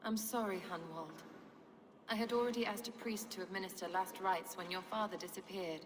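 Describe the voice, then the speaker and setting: An actress playing a troubled, sharp-tongued medieval noblewoman in a video game, with an emotionally charged delivery. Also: mid-range voice, fairly even intonation, brisk, lightly acted. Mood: sorrowful, apologetic. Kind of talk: dramatic video game acting. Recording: reverberant hall, faint background noise.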